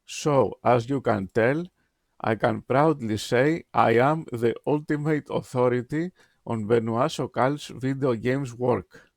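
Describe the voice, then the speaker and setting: A man whose first language is Greek, reading his written game review aloud in a slow, deliberate, emphatic way with many pauses. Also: mid-range voice, gravelly, darkish tone, no room sound, studio quality.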